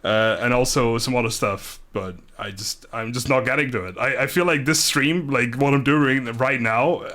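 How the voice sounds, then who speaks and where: A man in his thirties from the Netherlands, chatting casually with livestream viewers in a rambling, laid-back, low-energy way with mild swearing. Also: normal speed, pushed and bright. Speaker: live streamer. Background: music.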